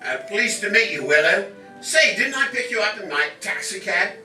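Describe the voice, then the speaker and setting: A man's voice: high-pitched, very animated, normal speed, pushed and bright, exaggerated voice. A man playing a bewildered, hesitant cab driver. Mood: bemused. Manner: hesitant, bemused, many "uh"s. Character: bewildered modern taxi driver, adult man. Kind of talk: live amateur stage radio play. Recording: roomy hall from far off, with music.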